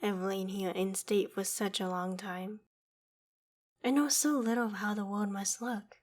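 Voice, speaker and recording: Casting: a woman as an imperious, vain dragon in human guise, mid-pitched, slow and stately, formal wording, ASMR audio drama. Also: steady tone, lightly acted. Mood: hospitable, curious. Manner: formal, haughty, theatrical phrasing. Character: proud, vain ancient female dragon in human form.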